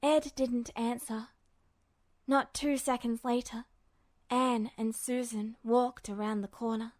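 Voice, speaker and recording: A woman's voice: fairly high pitch, steady tone, takes it slowly, no echo. A woman reading scripted fiction aloud as the narrator of a story. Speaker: podfic narrator.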